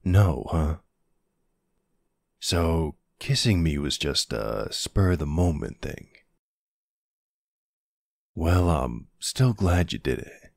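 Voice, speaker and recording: A man playing a protective, gruff but tender older boyfriend in an ASMR audio roleplay, unhurried and plain-spoken, with a husky, gravelly voice. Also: rumbling low, animated, no room sound, clean studio sound, exaggerated voice. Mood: tender, reassuring.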